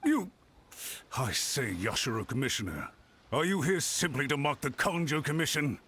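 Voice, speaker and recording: A man's voice: mid-range voice, lively intonation, normal speed, fairly bright tone, a little hiss or hum, close to an everyday voice. An actor playing a scheming, smug male government official in a video game, formal and indignant. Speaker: game character.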